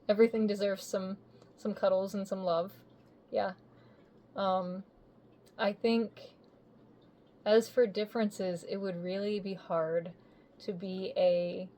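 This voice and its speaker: A woman's voice: mid-range voice, fairly even intonation, slow, long pauses, dark tone. A woman, a voice actor with years of radio work behind her, chatting easily in an interview. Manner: chatty, easygoing storyteller. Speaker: voice actor guest. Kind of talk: casual interview chat.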